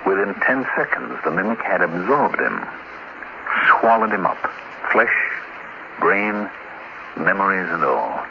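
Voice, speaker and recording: A man in a 1950s radio play, playing a smug alien mimic posing as a spaceman, speaking in a measured, sinister storytelling manner. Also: low voice, very animated, creaky, exaggerated voice. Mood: calm menace. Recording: noisy, muffled 1950s radio recording.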